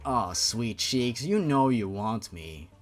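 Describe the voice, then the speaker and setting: A man's voice: medium pitch, big pitch swings, slow pace, faint music behind, voice pushed down. A man voicing a smug, sleazy, flirtatious male killer with a cocky drawl, in a fan fiction reading. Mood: smug flirting.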